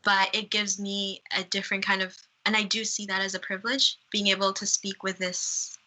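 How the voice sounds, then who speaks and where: A woman's voice: mid-range voice, level tone, normal speed, pushed and bright, poor audio. A young woman from Uganda with a Canadian accent, speaking candidly as a guest. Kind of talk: casual conversation.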